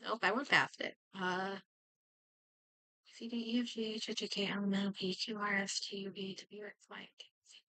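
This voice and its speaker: A woman's voice: mid-range voice, little pitch movement, normal speed, sharp tone, no echo, rough recording. A woman game streamer chatting casually as she plays, muttering and thinking aloud to herself. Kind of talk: casual livestream chat while playing a game.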